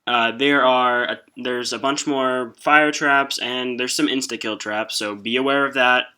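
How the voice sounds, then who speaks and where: A man hosts a video game walkthrough in a bright, forward voice. His delivery is informal and a little halting, explaining things step by step in casual commentary. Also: medium pitch, steady tone, clean tone. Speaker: game guide video host.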